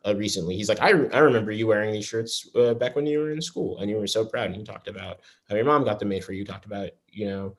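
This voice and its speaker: Fast-talking New man, low voice. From New York. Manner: earnest, rambling with many restarts. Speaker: interviewee, school alumnus. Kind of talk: casual conversational interview.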